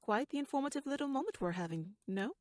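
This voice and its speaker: A woman playing a shrewd, teasing royal noblewoman in an ASMR audio roleplay, speaking softly in a wry, courtly, measured way that slips toward a whisper. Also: high voice, expressive, no echo, studio quality. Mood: probing, wry.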